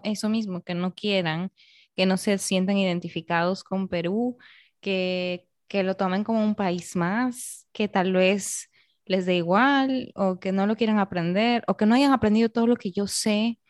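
A woman from Peru hosting a casual conversation, speaking thoughtfully with pauses. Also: medium pitch, no room sound, studio quality.

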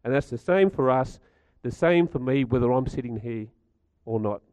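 A middle-aged man with a croaky voice, giving a chatty talk to a live audience and asking them questions. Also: medium pitch, lively intonation, moderate pace, dark tone, no room sound, low-quality recording. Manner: chatty, stats-driven, asks the audience questions. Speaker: speaker at a community gathering.

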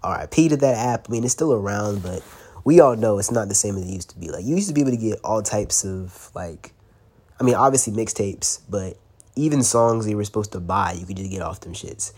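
A man's voice: fairly low pitch, fairly quick, darkish tone, slightly rough. Stuffy-voiced young man hosting a podcast. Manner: loose and slangy, swearing, laughing now and then. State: congested, stuffy from a cold. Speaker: podcast host. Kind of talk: casual solo podcast talk.

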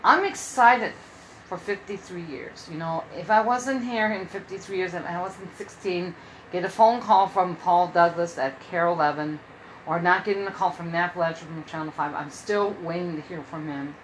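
A woman's voice: lowish voice, normal speed, slight background noise, low-quality recording. A woman in her early fifties hosting a casual solo podcast from her room at home, rambling and often repeating herself. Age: 50s. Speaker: podcast host.

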